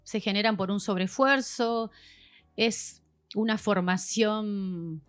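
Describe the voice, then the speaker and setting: A woman's voice: medium pitch, steady tone, slow, clean tone, faint music behind. A woman, a voice specialist guest explaining patiently in a podcast chat, like a teacher. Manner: patient, teacherly, acts out examples.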